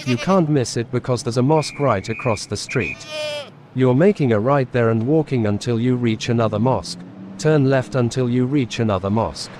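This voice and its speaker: A man doing a crude comedic voice-over narration, delivered deadpan. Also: medium pitch, slight background noise. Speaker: channel narrator.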